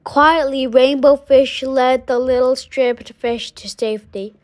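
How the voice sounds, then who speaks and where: Slow, high-voiced woman reading a storybook. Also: fairly even intonation. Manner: halting, stumbling over words. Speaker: storybook reader. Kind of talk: children's story read-aloud.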